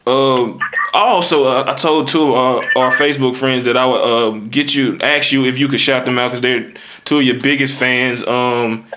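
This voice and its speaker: Casual male host, halting delivery. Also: medium pitch, steady tone, pushed and bright, slight background noise. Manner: friendly, halting with ums. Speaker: interview host. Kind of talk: casual interview.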